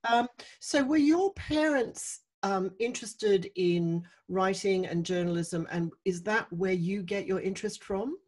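A woman's voice: mid-range voice, unhurried, few pauses, a little mellow. An older woman interviewing an author on a video call, asking long, curious questions from her home study. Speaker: interviewer host. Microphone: studio mic on arm, video call.

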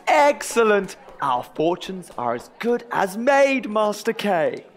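An ambitious Tudor songwriter in a comic audio drama. His voice is high and swoops widely, with a slow, theatrical, excitable delivery. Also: slight background noise, heavily acted. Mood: elated. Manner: theatrical, excitable, stammers in panic. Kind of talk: historical comedy audio drama acting.

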